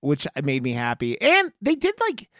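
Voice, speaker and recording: A podcast co-host chatting casually about films in a profane, halting, rambling way. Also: mid-range voice, wildly swooping pitch, a bit bright, no echo, studio quality. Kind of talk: casual film review chat.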